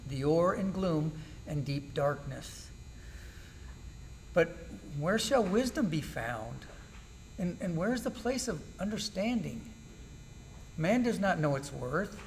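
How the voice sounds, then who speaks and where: A man acting as a suffering, steadfast man in an amateur Bible drama. The voice sits fairly high for a man and speaks in solemn, formal declamation, full of bitterness. Some background noise runs under it. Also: low-quality recording. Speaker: church class amateur actor.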